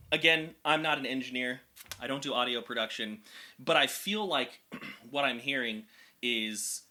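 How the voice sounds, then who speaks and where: Bright, higher-voiced young man, chatty. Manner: energetic, chatty, explains vocal anatomy in technical terms. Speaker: vocal coach reacting to music. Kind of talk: casual reaction and explanation.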